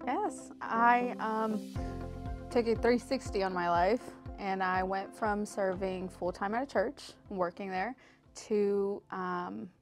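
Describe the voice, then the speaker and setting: A woman from southern Mexico, a podcast guest, telling her life story in a relaxed way with many ums. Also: mid-range voice, takes it slowly, faint music behind. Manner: relaxed, frequent ums and uhs. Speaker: podcast guest, medical interpreter. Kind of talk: casual storytelling.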